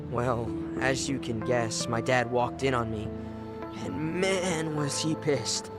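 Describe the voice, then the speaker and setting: A man's voice: medium pitch, slow, over loud music, natural voice. An actor playing a rebellious young man with an American accent in a game dub, casual, slangy and defiant. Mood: sheepish, subdued. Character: cocky, rebellious, foul-mouthed young man, troubled son. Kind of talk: video game dub acting.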